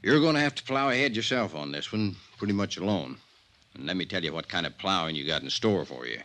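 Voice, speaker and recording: An actor playing a folksy, wary older small-town sheriff who drawls deliberately in a 1950s radio drama. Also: male voice, low voice, expressive, pushed and bright. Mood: wary, warning.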